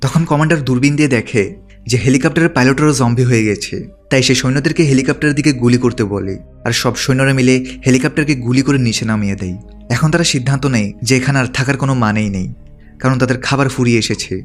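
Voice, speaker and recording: Guy narrating a movie recap from a script, talks really fast, bit of music behind him. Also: medium pitch.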